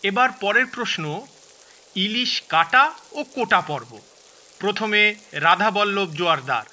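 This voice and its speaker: A man acting as a brisk quiz moderator at a family contest in an audio drama. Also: high-pitched, lively intonation, sharp tone, full character voice.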